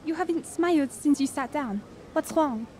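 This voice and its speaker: An actress playing a caring, gently persistent young woman in an audio drama, with a gentle, questioning manner. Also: sits high, normal speed, a little hiss or hum, natural voice. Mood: curious, concerned.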